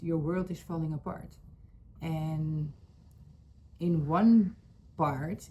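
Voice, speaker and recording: A woman with a Dutch accent, speaking earnestly to camera at home in English, often pausing to search for words. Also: low voice, a little hiss or hum. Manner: searching for words, many fillers, soft and earnest. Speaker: vlogger. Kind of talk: personal heartfelt talk to camera. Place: home room with a couch.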